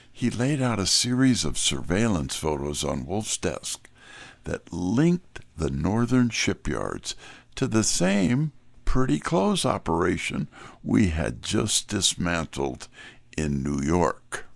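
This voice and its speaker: Guy as wry detective sidekick narrating, low gravelly voice, candid, takes his time. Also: big pitch swings, slow, no room sound, full character voice. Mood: wry narration. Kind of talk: first-person scripted detective narration.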